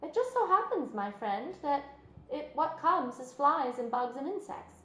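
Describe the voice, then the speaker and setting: A woman's voice: high-pitched, very animated, unhurried, fairly bright tone, pitched above the performer's own voice, full character voice. A woman voicing a clever, unapologetic female spider in a storybook, with a thin, pleasant voice and matter-of-fact self-assurance. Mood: self-assured, insistent. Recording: roomy sound with music underneath.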